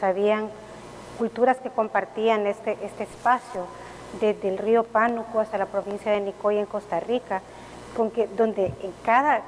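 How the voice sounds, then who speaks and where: Lady archaeologist, pauses a lot, roomy reverb and a bit of hiss. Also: mid-range voice, darkish tone. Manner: thoughtful, frequent hesitations. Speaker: archaeologist panelist. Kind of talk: conference discussion. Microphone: video call. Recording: reverberant room, faint noise.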